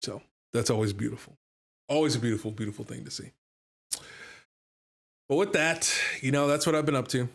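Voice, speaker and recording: A man's voice: mid-range voice, brisk, vocal fry, pauses often. Enthusiastic man hosting a casual podcast. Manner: enthusiastic, rambling with fillers and repeated words. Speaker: podcast host and game master.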